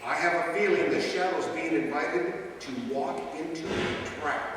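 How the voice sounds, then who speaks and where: A man playing a calm, shrewd gentleman detective in an old-time radio mystery, speaking in a measured, formal way. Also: on the high side, natural voice. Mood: watchful. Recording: echoey hall from far off, faint noise.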